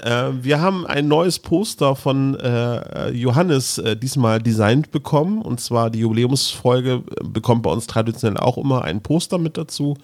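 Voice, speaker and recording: A man's voice: medium pitch, expressive, normal speed, hardly any pauses, darkish tone. A man co-hosting a podcast in casual, conversational chat. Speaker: podcast co-host.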